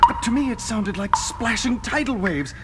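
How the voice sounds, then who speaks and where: A man's voice: very high-pitched, expressive, moderate pace. An actor playing a melodramatic, agitated man in a superhero audio drama. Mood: agitated, amazed. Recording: loud dramatic music underneath.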